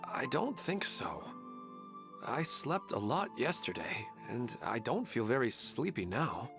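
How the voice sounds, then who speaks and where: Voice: mid-range voice, sing-song, measured pace, creaky. An actor voicing a shy, hesitant young man in a video game, speaking apologetically. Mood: apologetic. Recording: game audio with loud background music.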